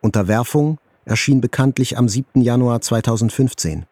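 An actor playing a journalist who soberly recalls his own life in measured literary narration. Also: mid-range voice, a little mellow, no room sound, close to an everyday voice. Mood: sober, dry. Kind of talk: literary first-person narration in audio drama. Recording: faint music behind the voice.

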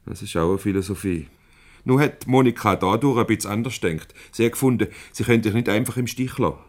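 An actor playing a shrewd, teasing boss and go-between in a 1970s Swiss German radio comedy, probing, wry and avuncular. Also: male voice, fairly low pitch, a little fast, lightly acted. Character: shrewd, teasing boss mediating a couple's quarrel.

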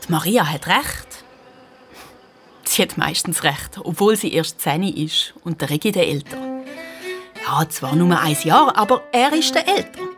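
A woman's voice: mid-range voice, lightly acted. A woman narrating a children's radio play with a Swiss German accent, explaining things like an engaging storyteller.

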